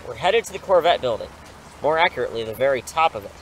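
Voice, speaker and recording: A man's voice: mid-range voice, big pitch swings, quick pace, slight background noise, close to an everyday voice, rough recording. Man playing an eager superhero teacher, rain behind. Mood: upbeat. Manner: breezy, persuasive, theatrical. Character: eager, cocky male English teacher secretly a superhero. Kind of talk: audio drama acting.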